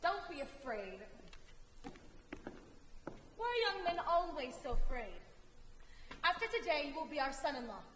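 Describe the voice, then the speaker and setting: A woman's voice: high-pitched, big pitch swings, measured pace, long pauses, a bit bright, exaggerated voice. A woman playing a proud, commanding queen and mother in a stage play, with pointed, emphatic delivery. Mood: regal, inviting. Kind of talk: theatrical stage acting. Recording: echoey theatre hall with faint noise.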